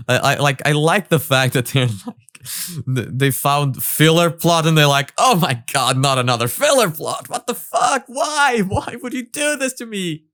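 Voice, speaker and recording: A man's voice: a little high, animated, moderate pace, a bit bright, dry recording, studio quality. A man giving casual, rambling, analytical commentary as he reacts to a parody cartoon.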